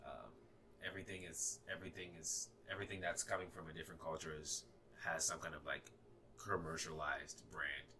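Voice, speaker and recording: A man's voice: extremely low pitch, flat delivery, a little fast, pushed and bright, slight background noise. A young man in his twenties from Ghana, a native Ga speaker with a slight Ghanaian accent, talking hesitantly with many fillers and restarts in a casual podcast conversation. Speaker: guest.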